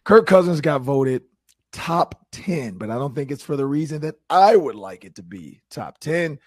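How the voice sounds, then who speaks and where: A man hosting a sports talk show, his voice hoarse from shouting, talking energetically. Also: fairly high pitch, moderate pace, no echo, studio quality. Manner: animated, energetic opinions. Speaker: host.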